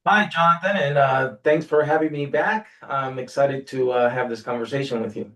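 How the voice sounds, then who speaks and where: Guy, 60s, mid-pitch voice, relaxed rambling story with lots of uh's, sounds a little far from the mic. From Peru. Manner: relaxed storytelling with frequent "uh" pauses. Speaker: guest, workforce agency director. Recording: voice slightly distant from mic.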